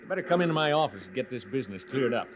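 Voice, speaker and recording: An actor playing a fussy, insistent, complaining railroad station master in an old radio western. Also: male voice, medium pitch, expressive, racing, natural voice. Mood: agitated. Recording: dull, noisy old radio recording.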